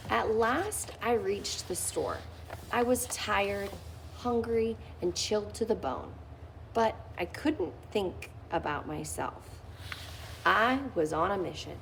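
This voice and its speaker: Woman reading a kids book out loud, unhurried, expressive, bit of faint hiss behind. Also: medium pitch, gravelly, low-quality recording. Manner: expressive, with lively lifts on key phrases. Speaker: reader of a children's book. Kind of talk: read-aloud storytelling.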